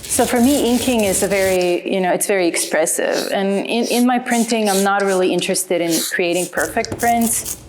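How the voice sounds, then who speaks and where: A woman's voice: mid-range voice, moderate pace, noisy background. A woman from Croatia, an artist speaking thoughtfully and earnestly about her printmaking in a documentary interview. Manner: thoughtful, earnest, explains with care.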